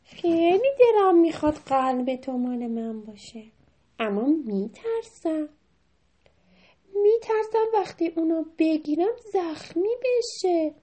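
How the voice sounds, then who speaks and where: Woman as a high-voiced, fretful storybook cat. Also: warm tone. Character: shy, fretful cat with long sharp claws.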